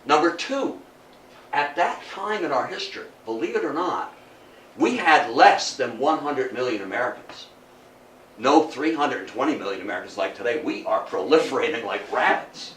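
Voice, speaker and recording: A man's voice: medium pitch, animated, a bit bright. Older man lecturing in a roomy space. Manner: theatrical, emphatic, with dramatic pauses and rhetorical questions. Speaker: history lecturer. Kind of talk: public lecture with storytelling. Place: indoor talk room before an audience. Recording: roomy, distant mic, faint noise.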